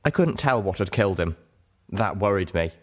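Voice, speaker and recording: A man's voice: deep voice, lively intonation, normal speed. A man reading fiction aloud as scripted audiobook narration. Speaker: reader, narrator.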